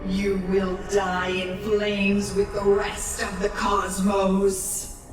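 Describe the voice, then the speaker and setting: A woman playing a vengeful, imperious fiery alien spirit in an audio drama, speaking with slow theatrical menace. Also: medium pitch, monotone, very echoey, far from the mic, over music. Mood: menacing. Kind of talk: audio drama villain acting.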